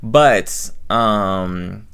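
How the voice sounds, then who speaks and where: A man's voice: fairly low pitch, drawn-out words, gravelly, a bit bright, clear, resonant tone. A man giving a casual, chatty review commentary as host, rambling and repeating himself in slangy talk. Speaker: reviewer of an audio drama and channel host.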